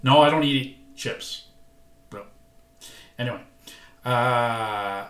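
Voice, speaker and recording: A man's voice: mid-range voice, slow, fairly bright tone. An older man vlogging casually about learning guitar, folksy and self-deprecating, sounding a bit congested. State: congested, recovering from illness. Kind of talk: casual vlog chat. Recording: echoey room, guitar playing.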